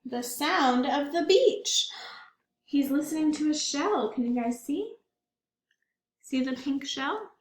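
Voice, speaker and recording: A woman's voice: high-pitched, expressive, takes it slowly, pauses often, reverberant, a little hiss or hum. Woman reading a picture book to kids. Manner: warm, questioning, child-directed.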